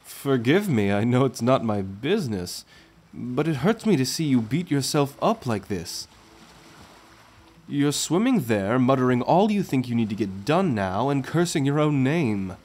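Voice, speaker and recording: A man playing a confident, affectionate merman prince in a gentle, teasing, courtly manner for an ASMR roleplay. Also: mid-range voice, very animated, measured pace, slightly rough. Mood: tender concern. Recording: music under the voice.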